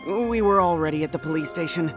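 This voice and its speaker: A woman's voice: low voice, fast talker, close to an everyday voice. A woman playing a flustered, eager-to-please young gang underling in a video game, stammering and pleading. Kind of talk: animated video game voice acting. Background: game music.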